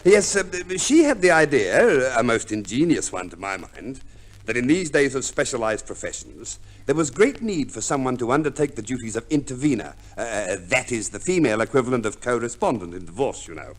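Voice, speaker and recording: A fussy solicitor from an old radio play. His phrasing is formal, unctuous and scrupulously precise, with small hesitant hums, and his pitch rises and falls in very animated sweeps. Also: brisk. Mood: smoothly instructive. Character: fussy, pompous English divorce lawyer, scrupulously proper. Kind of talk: 1950s radio play acting.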